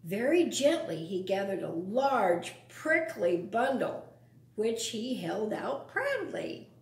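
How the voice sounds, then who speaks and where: A woman reading a picture book aloud to children, expressively, pausing for simple explanations and questions to her young listeners. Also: mid-range voice, sing-song, vocal fry. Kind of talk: read-aloud storytime with asides to young listeners. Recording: roomy, echoing sound.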